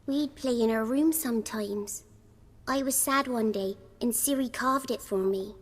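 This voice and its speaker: A woman's voice: on the high side, measured pace, in a large hall. A woman voicing an earnest little village girl in a video game, chirpy and eager.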